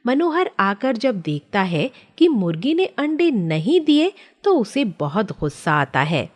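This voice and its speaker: A woman's voice: mid-range voice, very animated, a little slow, a little mellow. A woman narrating a scripted children's story as the storyteller.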